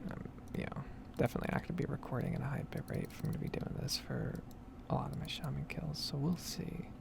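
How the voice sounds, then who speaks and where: A woman speaking in a soft, breathy whisper, rambling casually in an ASMR gameplay style, close to the mic with typing and clicking behind her. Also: a bass voice, fairly even intonation, fast talker, heavy background noise. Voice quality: breathy, soft-spoken whisper with heavy vocal fry. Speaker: gaming streamer and ASMR creator.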